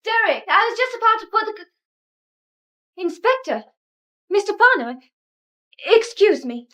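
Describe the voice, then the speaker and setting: A woman's voice: extremely high pitch, sing-song, a little slow, pushed and bright, exaggerated voice. An actress playing an anxious, polite young woman in a radio drama. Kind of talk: British radio drama acting.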